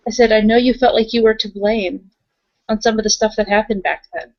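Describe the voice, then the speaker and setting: A woman's voice: fairly high pitch, fairly even intonation, fairly quick, darkish tone. A woman roleplaying and narrating in a tabletop session, speaking casually and bluntly. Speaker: game master in a roleplaying session. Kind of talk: improvised in-character roleplay with narration. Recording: dull lo-fi recording.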